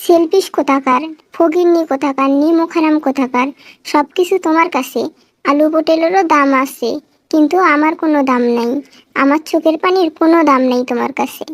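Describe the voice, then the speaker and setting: Woman playing nagging young wife, piercingly high pitch, rural Bangladeshi accent, scolding, melodramatic, comic cartoon, dry sound. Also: fairly quick, no room sound, close to an everyday voice. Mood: aggrieved wailing. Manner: scolding, wailing, complaining.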